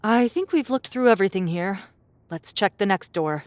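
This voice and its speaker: A woman's voice: mid-range voice, animated, moderate pace, no echo, close to an everyday voice. A woman playing a tall, confident, pragmatic young adventurer in a dramatised science fiction audiobook, speaking in a matter-of-fact, dryly assured way. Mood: brisk, upbeat.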